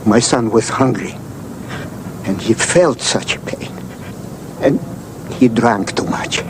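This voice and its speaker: A poor, grieving father pleads humbly. He speaks very slowly and haltingly in a mid-pitched voice, over clear background noise, as in a television drama. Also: expressive. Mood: sorrowful, pleading. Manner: slow, halting, sorrowful.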